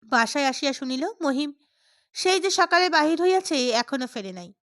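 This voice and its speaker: A woman's voice: high-pitched, lively intonation, normal speed, fairly bright tone, no echo, clean studio sound. A woman reading a literary story aloud as scripted narration. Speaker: audiobook narrator.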